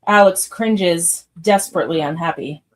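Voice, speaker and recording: A woman's voice: on the low side, moderate pace. A woman narrator reading screenplay stage directions aloud in a scripted live read.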